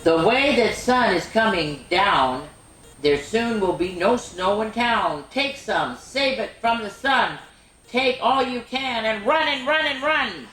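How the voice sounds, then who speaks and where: A woman hosting a children's story time, reading picture books aloud in an animated way with chatty, exclaiming asides. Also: a little high, takes it slowly. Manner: animated, repeats phrases for emphasis, exclaims. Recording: roomy, echoing room sound.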